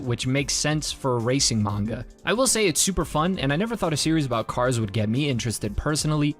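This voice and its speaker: Man narrating a review over music. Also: fairly high pitch. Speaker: manga review video creator. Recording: music under the voice. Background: background music.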